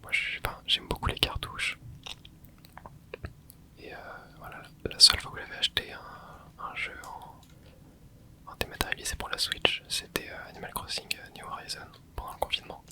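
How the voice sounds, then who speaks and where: A host whispering casual gameplay commentary, rambling and thinking aloud with many hesitations. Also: normal speed. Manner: casual, hesitant, frequent filler words. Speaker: gaming channel host.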